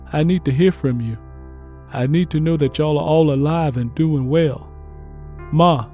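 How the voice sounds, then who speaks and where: A man's voice: on the high side, dark tone, lightly acted. A man playing an earnest young Mississippi field hand turned soldier, reading out letters home with plain rural phrasing in an audiobook. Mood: homesick, earnest. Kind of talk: first-person letter read in audiobook.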